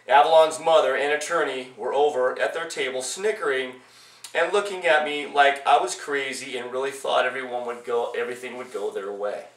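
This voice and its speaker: A man reading his own personal memoir aloud as its author, in a halting way with repeated phrases. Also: mid-range voice, fairly bright tone. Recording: echoey room, distant from mic.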